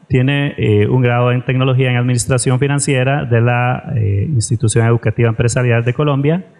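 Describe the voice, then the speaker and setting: A man's voice: medium pitch, a little mellow. Hesitant male event moderator. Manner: hesitant, many filler sounds. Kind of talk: formal introduction of speakers.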